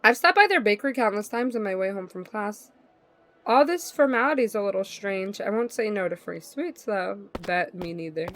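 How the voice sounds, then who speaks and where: A woman's voice: mid-range voice, lively intonation, moderate pace, a bit bright. A woman livestreamer reading visual novel narration aloud and reacting in a playful, slangy way. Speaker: livestream gamer.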